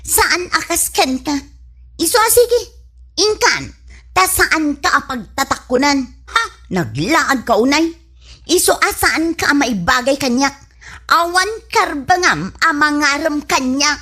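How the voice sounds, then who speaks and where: A woman's voice: high voice, animated, slow pace, pushed and bright, heavily acted. A woman acting a pretty, blunt, scornful young village woman in an Ilocano comedy radio drama, with an Ilocano accent. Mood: haughty, mocking. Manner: scornful, dismissive, teasing.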